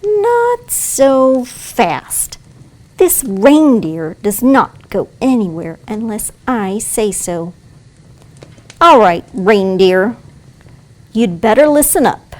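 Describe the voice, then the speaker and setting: Woman as a bossy, unhurried robber girl. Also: on the high side, big pitch swings, a little mellow, full character voice. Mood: irritated. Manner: brusque, commanding. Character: bossy, threatening robber girl with a hidden soft heart. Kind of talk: audio play acting.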